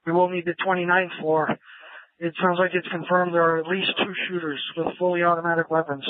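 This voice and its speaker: A man's voice: high-pitched, level tone, fairly quick, no echo, narrow, tinny audio. A male police officer giving a report over a police radio, as heard on a scanner. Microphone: two-way police radio.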